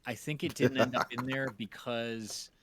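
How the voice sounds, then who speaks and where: A man in casual conversation as a co-host, talking in a halting, reflective, self-correcting way. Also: mid-range voice, steady tone, a little hiss or hum. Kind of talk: casual conversation about audio mixing.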